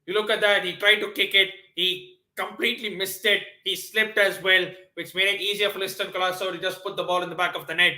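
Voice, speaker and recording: Male stream host, casual football commentator, high-ish bright tone, echoey room sound. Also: fairly quick, hardly any pauses. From Nepal. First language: not Hindi. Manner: excitable, lots of fillers and restarts. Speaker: livestream watchalong host. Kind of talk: live football commentary and chat with viewers.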